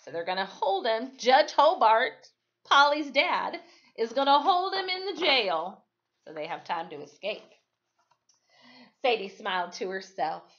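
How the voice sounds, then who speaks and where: A woman reading a story aloud expressively, with dramatic emphasis and pauses, like a teacher at storytime. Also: a little high, very animated, a bit bright, dull audio. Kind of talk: expressive read-aloud storytelling with brief asides to listeners.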